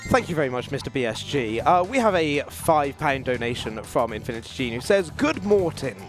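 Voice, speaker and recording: A man's voice: mid-range voice, sing-song, fairly quick, faint music behind. A man hosting a live gaming marathon, reading out donation messages to the audience. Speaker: event host.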